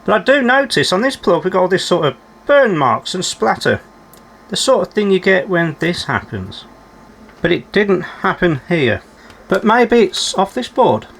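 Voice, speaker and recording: A man's voice: sits high, very animated, moderate pace, vocal fry, a bit bright, breathy edge. A man giving unscripted running commentary as he works on a repair, musing aloud and putting questions to himself with dry, understated humour. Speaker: electronics repairer presenting a repair video.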